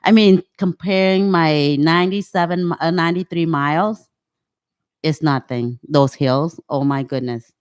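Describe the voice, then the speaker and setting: A woman in her fifties, originally from Cambodia, speaking English as her at an unhurried pace. Her sentences come out halting, with frequent restarts as she searches for words. The talk is a relaxed interview chat. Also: sits low, clean tone. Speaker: guest. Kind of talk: casual conversational interview.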